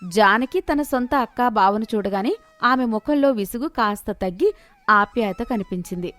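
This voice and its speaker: A woman's voice: a little high, expressive, normal speed, fairly bright tone, faint music behind. A woman reading a scripted moral story as its narrator.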